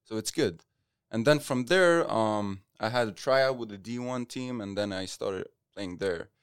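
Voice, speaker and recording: A young man, a podcast guest telling his playing story in a relaxed way, full of fillers. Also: on the low side, unhurried, clear, resonant tone, no echo, studio quality. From Lebanon. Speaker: podcast guest, futsal player. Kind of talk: casual interview chat.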